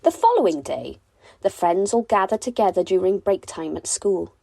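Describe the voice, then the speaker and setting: A woman reads a children's story aloud with lots of expression. Her pitch moves freely through deliberate phrases, and the sound is dry, with no room echo. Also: very animated, gravelly, warm tone. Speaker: storybook reader. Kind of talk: expressive bedtime story narration.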